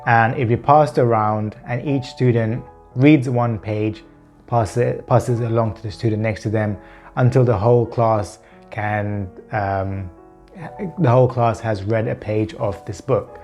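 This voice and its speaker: Guy in his 30s vlogging, stammers a lot, repeats words, earnest and open, soft music under it. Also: fairly low pitch, fairly even intonation, a little slow. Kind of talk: personal vlog talking to camera.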